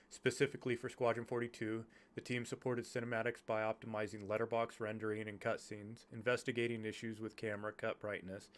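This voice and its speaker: A man's voice: lowish voice, a bit bright. A sick, congested man with a nasal voice reads a technical development report aloud, stumbling and restarting over jargon as he goes. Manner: halting reading with stumbles over technical terms. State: congested from illness. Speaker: YouTuber reading game development notes.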